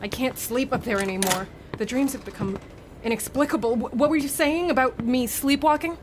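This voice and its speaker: Actress as nightmare-plagued young student, radio drama, uneasy, halting, fairly high voice. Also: female voice, slight background noise, natural voice. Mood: anxious, weary. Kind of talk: dramatic radio play acting.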